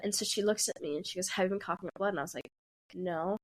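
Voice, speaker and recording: A woman's voice: mid-range voice, quick pace. A woman in her twenties, a podcast co-host, telling a rambling story in casual chat and acting out what people said. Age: 20s. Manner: rambling, laughing, acts out quotes.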